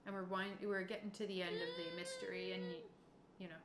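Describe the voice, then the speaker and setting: A woman's voice: mid-range voice, wildly swooping pitch, fast. A woman running a tabletop game as game master, casually explaining rules and narrating in an informal way. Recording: echoey room with faint background noise.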